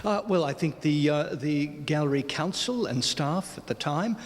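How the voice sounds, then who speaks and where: A man's voice: fairly high pitch, expressive, moderate pace. A man with an Australian accent giving articulate, considered explanations in an interview, in wordy phrases with asides. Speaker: gallery spokesperson, interviewee. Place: large indoor gallery space. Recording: reverberant gallery hall.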